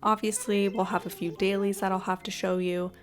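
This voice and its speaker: A woman's voice: medium pitch, little pitch movement, a little fast, faint music behind. A woman giving casual, chatty gameplay commentary in an informal way. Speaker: gaming video host.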